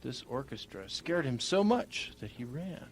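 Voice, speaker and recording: A man tells a homemade bedtime story in a slow, gravelly voice. He spaces out his phrases haltingly. A clear hum and noise sit under the recording. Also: mid-range voice, very animated. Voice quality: gravelly, creaky. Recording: noisy home recording with hum.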